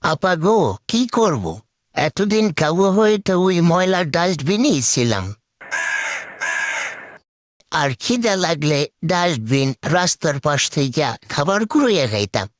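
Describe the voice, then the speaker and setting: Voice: medium pitch, sing-song, a little slow, no room sound. An actor puts on a scruffy male crow sidekick from a comic cartoon, servile and forever aggrieved. The voice swoops widely as it pleads in rustic, colloquial talk. It is recorded dry and close. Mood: whining.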